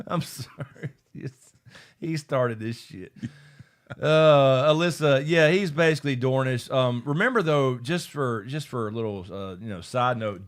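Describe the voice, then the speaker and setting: A man hosting a casual livestream after-show, rambling, swearing and laughing as he reads out viewer comments. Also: mid-range voice. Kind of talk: casual live chat, reading viewer comments.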